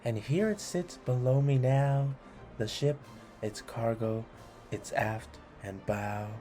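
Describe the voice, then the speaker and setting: Slow, mellow man reading aloud. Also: medium pitch, soft background music. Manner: deliberate, adds encouraging asides to listeners. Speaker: read-along host. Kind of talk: expressive children's storybook read-aloud.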